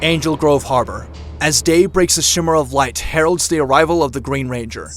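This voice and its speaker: A man's voice: on the high side, brisk, fairly bright tone, soft background music, close to an everyday voice. A man narrating an audio drama in a scripted, dramatic storytelling style.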